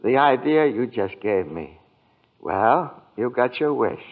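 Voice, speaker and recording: A man playing a kindly, eccentric apprentice guardian angel in old-time radio drama, genteel, earnest and gently insistent. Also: mid-range voice, lively intonation, takes it slowly. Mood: earnest, persuasive. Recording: tinny vintage radio broadcast.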